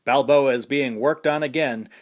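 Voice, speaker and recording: A man voicing an excited male fight announcer calling a boxing match play by play, raised high and loud above his own voice. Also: moderate pace, lo-fi sound, close to an everyday voice. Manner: breathless play-by-play.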